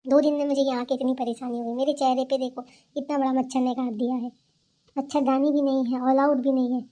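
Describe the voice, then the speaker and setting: Cartoon daughter-in-law, female actor: young, lazy, brash, high soft-toned voice, always complaining and talking down. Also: flat delivery, a little fast, a little hiss or hum, lightly acted. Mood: grumbling. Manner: scornful, bossy, complaining. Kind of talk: cartoon character dialogue.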